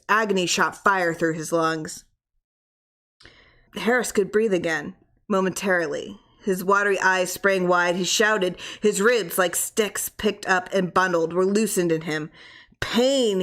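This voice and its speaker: A woman reading a horror story as narrator, dramatic, expressive and suspenseful. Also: mid-range voice.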